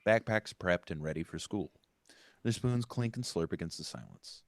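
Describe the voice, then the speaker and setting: Male table-read narrator, deep voice, dry sound, reads scene directions with occasional slips. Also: expressive, normal speed, creaky, no room sound. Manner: stumbles and corrects himself while reading. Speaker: narrator reading stage directions.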